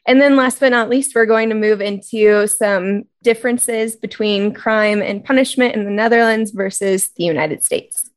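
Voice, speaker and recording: A woman co-hosts a podcast in a chatty, relaxed way, voice at a middle pitch. She lays out the plan and asks questions loosely, with casual fillers along the way. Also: moderate pace. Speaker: podcast co-host.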